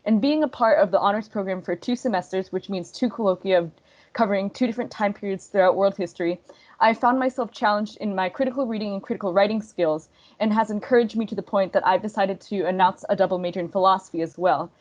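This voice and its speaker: A young woman student speaks in a composed, articulate way on a panel. Her delivery is steady and orderly, with a middle-range voice. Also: medium pitch, fast talker, highs cut off. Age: young adult. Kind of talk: prepared panel answer.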